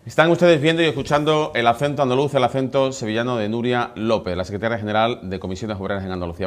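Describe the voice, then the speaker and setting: A male television host introducing and running a studio interview. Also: on the low side. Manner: brisk announcer delivery. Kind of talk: TV interview hosting.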